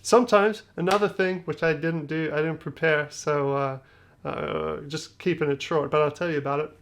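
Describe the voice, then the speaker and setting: A man's voice: on the high side, steady tone, normal speed, slight background noise. A man hosting a music production tutorial, talking casually in a loose, joking, slangy way with trailing phrases. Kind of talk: casual screen-share tutorial talk.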